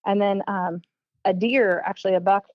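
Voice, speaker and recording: A talkative woman with a Southern US accent shares her stories in a relaxed interview. She rambles warmly through them, with plenty of ums, and she acts out what people said. The recording is dry and slightly muffled. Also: medium pitch, normal speed, no room sound. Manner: chatty, animated, acts out quoted speech, many ums. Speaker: guest, competitive archer and teacher. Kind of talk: casual storytelling in an interview.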